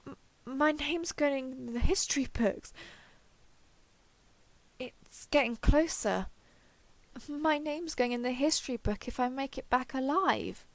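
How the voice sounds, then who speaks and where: A woman performs an alien explorer in an audio drama, with a fairly high voice that often slips into creak. She thinks aloud slowly, wary yet excitable, with wry hesitations. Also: no room sound. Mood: awed, excited. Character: excitable, wary female alien explorer with blue-grey skin. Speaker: voice actor. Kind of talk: audio roleplay internal monologue.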